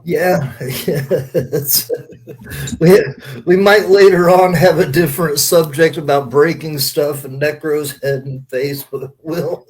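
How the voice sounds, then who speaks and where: A man's voice: on the high side, steady tone, takes it slowly, rough recording. A man co-hosting a podcast, chatting casually and plugging things in a joking, loose-tongued manner. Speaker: podcast co-host. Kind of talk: casual chat with promotional plugs.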